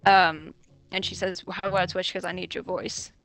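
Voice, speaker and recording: A woman's voice: on the low side, flat delivery, normal speed, vocal fry, fairly bright tone, faint music behind. A young woman chatting casually, telling stories with swearing and nervous laughs. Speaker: voice actress guest. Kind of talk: casual chat.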